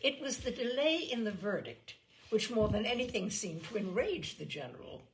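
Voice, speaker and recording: A woman's voice: a little high, very animated, moderate pace, a little hiss or hum, poor audio. A woman reading literary stories aloud as a storyteller, in a dramatised narration style. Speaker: storyteller, reader of literary selections.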